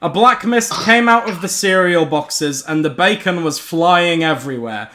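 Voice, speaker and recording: A man's voice: a little high, normal speed, a crowd in the background. A man giggling his way through a casual comedic read-aloud of a story, bantering with friends. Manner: giggly, often laughing through the reading.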